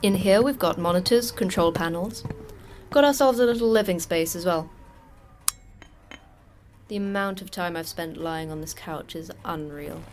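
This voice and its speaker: A woman's voice: lowish voice, normal speed, a little mellow, natural voice. A woman playing a jaded, terse station manager in an audio drama, dry and matter-of-fact. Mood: wry briefing. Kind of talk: audio fiction podcast acting.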